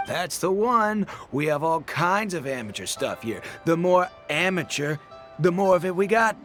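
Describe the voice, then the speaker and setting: An actor playing a cheery, sly fish seller in a video game, with showy hawker's patter and music behind. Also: male voice, sits high, lively intonation, normal speed, fairly bright tone. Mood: jovial, sly. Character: cheery, sly amateur fishmonger man hawking seafood. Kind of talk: video game character acting.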